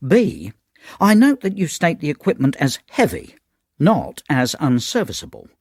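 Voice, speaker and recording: A man's voice: fairly high pitch, wildly swooping pitch, a little slow, a little mellow, no echo, studio quality, exaggerated voice. A man playing a pompous, irritable county education official, officious and indignant, in a radio drama. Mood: officious indignation.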